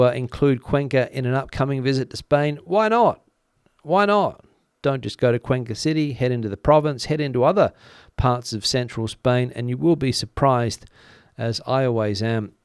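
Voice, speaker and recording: A middle-aged man, a native English speaker, hosts a casual live stream from his home studio. He rambles chattily, with plenty of "uh"s. His voice is smooth and resonant, recorded close with a dry sound and no room echo. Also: mid-range voice, a little mellow. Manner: chatty and rambling, many "uh" fillers. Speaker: news channel host. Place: home studio in front of a backdrop picture.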